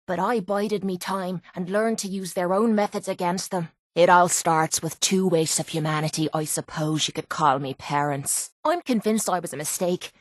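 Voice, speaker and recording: A woman's voice: mid-range voice, no room sound, studio quality, close to an everyday voice. An actress voicing a tough, foul-mouthed young Irish woman, a bitter and wounded fighter in a video game, with a brash, blunt manner. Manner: brash, blunt, swearing, slangy. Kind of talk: video game character voice acting.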